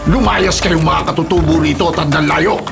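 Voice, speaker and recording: A man's voice: fairly high pitch, normal speed, gravelly, over music. A man playing a hot-tempered, defiant illegal logger in a radio drama, with a threatening, domineering delivery. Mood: angry, threatening. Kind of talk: Filipino radio drama acting.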